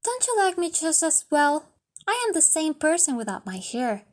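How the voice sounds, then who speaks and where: Woman voicing an anxious young wife, high-pitched. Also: very animated, moderate pace. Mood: pleading, tender. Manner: emotional, pleading, exclaiming. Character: young wife, anxious, affectionate, eager and pleading. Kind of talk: storybook character reading.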